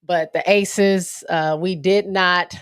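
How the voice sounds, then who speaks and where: Low-voiced woman streaming sports chat, takes her time, smooth clean voice, dry sound. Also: slow, dry recording. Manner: relaxed, slangy, lots of fillers. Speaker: livestream host. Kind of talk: casual basketball chat.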